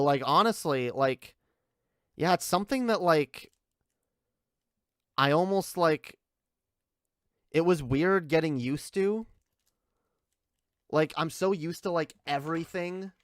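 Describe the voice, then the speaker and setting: Guy streamer reacting to stuff, clean dry sound, smooth voice, rambles a lot and says like constantly. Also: fairly high pitch, slow pace, pauses often, no echo. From Canada. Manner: rambling, repetitive, liberal use of "like" and swearing. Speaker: livestream host reacting to a video. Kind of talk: casual off-the-cuff livestream commentary.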